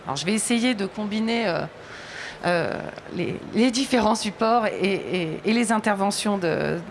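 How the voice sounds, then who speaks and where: A woman's voice: mid-range voice, lively intonation, normal speed, a little hiss or hum. A woman moderating a conference round table, introducing guests and asking questions with frequent hesitations. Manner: hesitant, many fillers. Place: conference hall.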